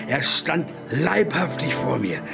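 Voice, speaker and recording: An actor playing an agitated, superstitious drinker haunted by the devil, gravelly, in a German radio drama. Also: male voice, on the high side, big pitch swings, takes it slowly, loud music behind the voice, heavily acted. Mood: agitated, insistent.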